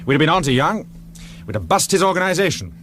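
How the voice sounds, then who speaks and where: A cool-headed British intelligence officer in a radio thriller, self-assured and brisk. His pitch moves widely as he lays out facts unruffled, with clear noise behind him. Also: very animated, a bit bright. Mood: admiring, matter-of-fact. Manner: brisk, unruffled, matter-of-fact.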